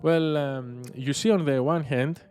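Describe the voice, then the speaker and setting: A man's voice: on the high side, a little mellow, smooth, soft background music. Greek-accented man hosting a solo podcast. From Greece. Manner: reflective, with frequent filler pauses. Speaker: podcast host.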